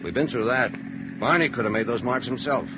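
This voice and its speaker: An actor playing a skeptical, practical American police sergeant in 1950s radio noir, blunt and terse. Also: male voice, medium pitch, a little fast, natural voice. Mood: impatient. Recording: dull, faintly noisy vintage radio recording.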